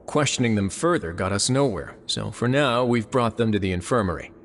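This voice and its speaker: A man's voice: fairly low pitch, lively intonation, slight background noise. Composed, authoritative male game official. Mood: serious, explanatory. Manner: measured, formal. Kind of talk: voiced game dialogue.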